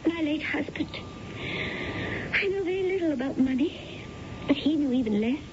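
A woman's voice: lively intonation, normal speed. A woman playing an earnest, self-deprecating widow in a radio drama, speaking precisely and with feeling. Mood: tearful, grateful. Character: earnest, flattering, emotional recent widow. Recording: dull, noisy, rumbling radio recording.

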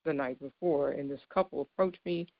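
A woman in her thirties with a deep, low voice, giving an informal, earnest devotional sermon and laughing lightly between points. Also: fairly quick, gravelly, dry recording, highs cut off. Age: 30s. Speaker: speaker giving a devotional talk.

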